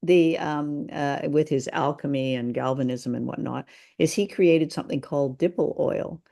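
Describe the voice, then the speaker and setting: A woman's voice: low-pitched, moderate pace, creaky, warm tone. A woman from Canada co-hosting a podcast in casual chat, speaking in a wry, thoughtful and somewhat hesitant way. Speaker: podcast co-host. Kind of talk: casual chat reviewing a radio drama.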